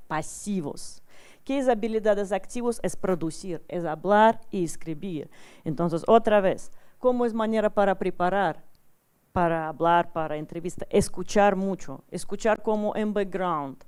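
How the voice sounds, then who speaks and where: A woman's voice: mid-range voice, darkish tone, smooth. A woman with a strong foreign accent, not a native Spanish speaker, giving a lecture in simple, broken phrasing. She often checks in with the audience and restarts her words. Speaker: English teacher giving a talk.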